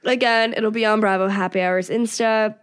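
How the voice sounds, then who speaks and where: A woman's voice: medium pitch, fairly even intonation, normal speed, clean tone. A woman hosting a casual, gossipy recap podcast in a mocking way, peppering her talk with "like". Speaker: podcast host.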